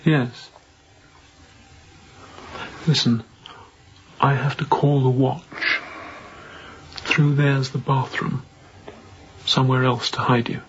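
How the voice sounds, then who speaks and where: A young ship's captain, played by a man, with a medium-pitched voice and a clipped, cautious delivery. He sounds secretive and uneasy, in a restrained radio drama manner. The audio is muffled, with clear noise behind him. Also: flat delivery, slow pace, pauses often, lightly acted. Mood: cautious, protective.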